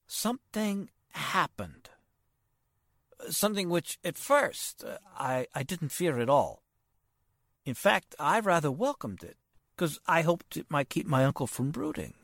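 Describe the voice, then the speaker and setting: A polite, worried gentleman in an old-time radio play, acted by a man. He speaks formally and haltingly, his pitch rising and falling widely, in a dry, close recording. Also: big pitch swings, gravelly, no echo, exaggerated voice. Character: polite, hesitant, worried nephew of a wealthy man. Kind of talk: 1940s radio drama acting.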